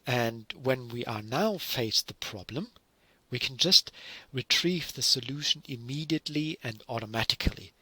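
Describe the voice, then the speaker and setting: A man's voice: medium pitch, pushed and bright, no echo, low-quality recording. A middle-aged man from Germany with a German accent, giving a recorded university lecture and explaining with many fillers and self-corrections.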